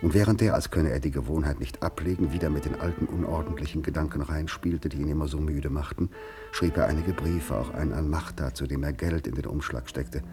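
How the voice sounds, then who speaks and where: A man with an extremely low voice narrates a literary radio drama. He tells the story gravely and in measured phrases, with music faintly to clearly present behind him. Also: fairly even intonation.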